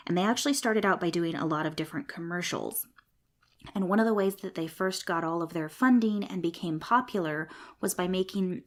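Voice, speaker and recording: A woman with a slightly low voice hosts her own podcast, explaining things in a chatty, friendly way and repeating points for emphasis. A cold leaves her a bit snuffly and congested. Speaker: podcast host. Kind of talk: casual explanatory podcast monologue. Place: a small home recording room.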